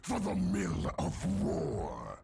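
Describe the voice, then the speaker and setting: A man's voice: deep voice, wildly swooping pitch, drawn-out words, loud music behind the voice, heavily acted. A man voicing a hulking, savage undead warlord for a video game, in a guttural, menacing growl full of war cries. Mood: threatening. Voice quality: gravelly, guttural, husky growl. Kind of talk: video game character voice lines.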